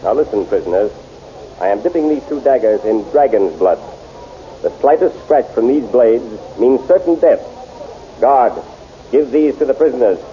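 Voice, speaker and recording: An actor playing a stern, proud exiled prince in a 1930s radio serial, with commanding, formal speech, in a dull lo-fi old recording. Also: male voice, mid-range voice, animated, moderate pace, natural voice.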